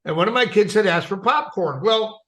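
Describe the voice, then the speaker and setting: This is a middle-aged American man with a notably high, bright voice. He talks casually as a podcast co-host, in a conversational storytelling way. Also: animated, brisk. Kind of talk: casual chat and anecdote.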